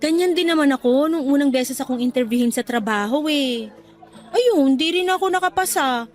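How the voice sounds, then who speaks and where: A woman acting as an affectionate, encouraging young girlfriend in a radio drama, speaking in a coaxing, animated way. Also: high-pitched, normal speed, faint music behind, lightly acted. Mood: reassuring. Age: young adult. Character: supportive, upbeat young girlfriend cheering on her partner. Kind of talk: Tagalog radio drama dialogue.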